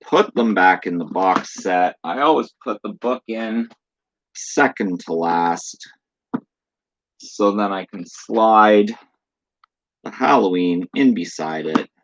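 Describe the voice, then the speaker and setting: Low-voiced man, hoarse, chatty horror collector. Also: expressive, slow, no echo, low-quality recording. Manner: reads box blurbs aloud in a chatty, offhand way. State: losing his voice. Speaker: channel host. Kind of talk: casual unboxing chat. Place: room at home.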